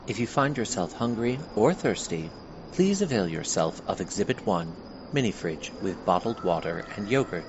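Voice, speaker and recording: A man playing a solemn otherworldly museum guide, speaking in formal, measured scripted narration with a questioning bent. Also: fairly low pitch, very animated. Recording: clearly noisy, dull lo-fi recording.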